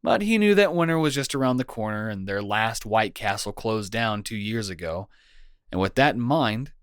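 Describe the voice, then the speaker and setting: Man acting as a caring, animated, teasing boyfriend. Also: on the low side, moderate pace, clear, resonant tone, dry recording, clean studio sound. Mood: playful storytelling. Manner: casual, playful, gently bantering. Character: caring, teasing young boyfriend nursing a sick partner. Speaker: audio roleplay performer. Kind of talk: intimate boyfriend roleplay comfort audio.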